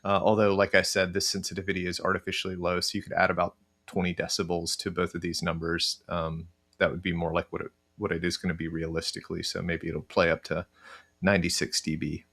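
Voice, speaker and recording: A middle-aged man presenting a casual software walkthrough, thinking aloud in a halting way. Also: low voice, flat delivery. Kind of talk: casual screen-share walkthrough. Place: indoor room, webcam screen recording.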